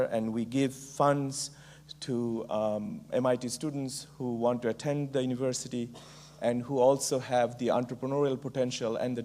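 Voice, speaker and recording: A middle-aged man from Bangladesh with a Bangladeshi accent, giving a conference keynote speech in a measured way with frequent ums and uhs. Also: mid-range voice, a little mellow. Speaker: keynote speaker.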